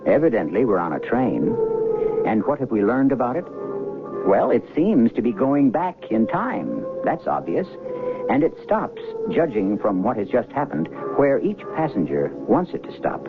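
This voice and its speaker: Music plays clearly behind a man narrating as host of an old radio drama, heard through a thin broadcast recording. His delivery is wry and theatrical, swooping widely between rhetorical questions and dramatic pauses. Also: medium pitch, very animated, phone-quality sound.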